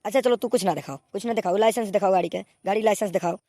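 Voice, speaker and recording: A woman's voice: mid-range voice, very fast, mellow, no room sound, lightly acted. Woman voicing a scolding village cartoon policeman, dry recording. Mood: exasperated. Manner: scolding, repetitive commands. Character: exasperated, bossy male village policeman at a checkpoint. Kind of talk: comic cartoon dialogue.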